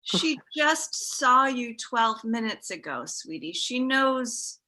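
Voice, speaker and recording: A woman's voice: fairly high pitch, sing-song, slow pace, pushed and bright, heavily acted, poor audio. A woman playing a placating middle-aged mother of a grown son in a comedy table read. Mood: placating, exasperated.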